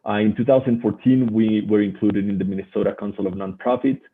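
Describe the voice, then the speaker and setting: A man with a Colombian Spanish accent presenting as a guest researcher in a webinar, haltingly and often repeating words. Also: on the low side, fairly even intonation, slightly rough. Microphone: online video call. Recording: muffled, phone-like webinar audio.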